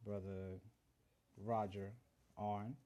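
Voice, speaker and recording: A man's voice: fairly low pitch, level tone, drawn-out words, dark tone. A man from Honduras whose first language is Garifuna, hosting a casual live-stream chat, earnest, greeting viewers by name and mixing in Spanish greetings. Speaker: livestream host.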